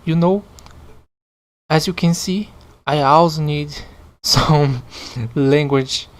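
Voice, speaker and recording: Slow-talking host. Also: mid-range voice. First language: Portuguese. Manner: chatty asides with frequent chuckles and mild swearing. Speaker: podcast host. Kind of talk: casual reading of online stories.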